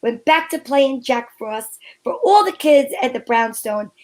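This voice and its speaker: A breezy female private eye acted out by a woman narrates her case in old-time radio detective style. Her voice sits high, and her telling is wry, playful and a little theatrical. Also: measured pace, darkish tone. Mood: playful, fond.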